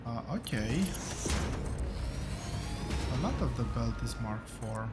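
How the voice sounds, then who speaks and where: A man narrates his game at an unhurried, halting pace, stopping often to think and filling the gaps with "uh" and "um". His voice sounds rough today, and his pitch sits in the middle range. Music plays clearly behind him. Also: lively intonation. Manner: slow, hesitant, thinking aloud with many fillers. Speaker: gaming channel host. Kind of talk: relaxed gameplay commentary.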